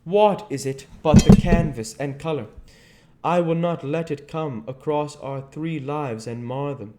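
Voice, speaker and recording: A man's voice: on the high side, measured pace, background noise, voice pushed up, lightly acted. A man doing an earnest, wounded painter.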